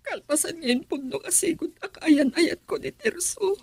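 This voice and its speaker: High-voiced anguished young woman in radio drama. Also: expressive, moderate pace, a little mellow, dry recording. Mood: tearful, wounded. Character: anguished young woman caught in a love quarrel.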